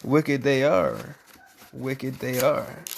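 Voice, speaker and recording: A woman reading a bedtime story aloud in an animated style, with casual, playful and mocking asides. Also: a bass voice, very slow, vocal fry, a little hiss or hum.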